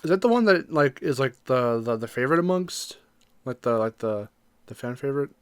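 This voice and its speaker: A man's voice: mid-range voice, animated, a little fast, clean tone. A man co-hosting a podcast, chatting casually in an informal, enthusiastic way. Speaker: podcast co-host. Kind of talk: casual chat about games and movies.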